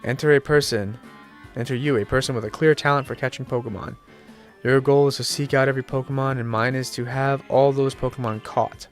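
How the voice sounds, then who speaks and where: A man's voice: medium pitch, fairly even intonation, a little fast, faint music behind, natural voice. A sick, nasal man reading aloud the lines of a polite, formal male professor in a video game. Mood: earnest. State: sick, congested.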